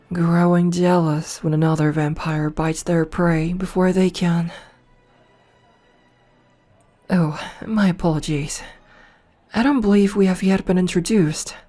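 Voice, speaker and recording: A voice actress playing a dominant, flirtatious noble vampire princess in a soft, slow ASMR roleplay, recorded close to the mic. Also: female voice, lowish voice. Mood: teasing. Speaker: voice actor. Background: faint music.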